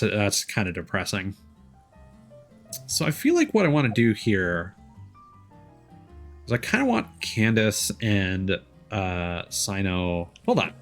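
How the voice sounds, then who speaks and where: Male game streamer, lower register, casual and rambling, thinking out loud over soft background music. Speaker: livestreamer playing a game. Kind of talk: casual gaming stream chat.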